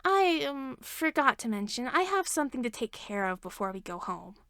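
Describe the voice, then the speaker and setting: A woman's voice: high voice, normal speed, dry recording, clean studio sound, exaggerated voice. A woman voicing a chatty, caring, nerdy woman inventor in a fanfiction audiobook, talking informally. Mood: subdued, hesitant. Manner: animated, informal, enthusiastic talk.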